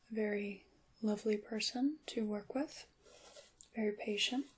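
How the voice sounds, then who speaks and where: A woman playing a courteous witch shopkeeper in an ASMR roleplay, whispering softly and breathily with formal, polite, old-fashioned wording. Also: fairly high pitch, husky, low-quality recording. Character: courteous witch shopkeeper selling handmade magical wares.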